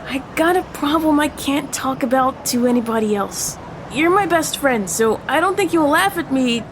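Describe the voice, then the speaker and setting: Nervous, earnest seventh-grade boy played by a woman, very high voice, audio drama with clear traffic noise behind. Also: lively intonation, moderate pace. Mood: confiding. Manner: earnest, hesitant. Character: anxious middle school boy confiding in his best friend. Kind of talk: family audio drama acting.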